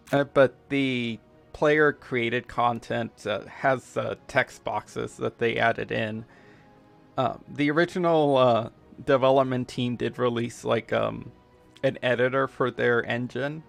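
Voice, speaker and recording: US gamer, Spanish first language, gravelly, mid-pitched; rambling let's-play chat over quiet game music. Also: male voice. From United States. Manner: halting, full of fillers and restarts. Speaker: gamer streaming a playthrough. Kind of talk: casual let's-play commentary.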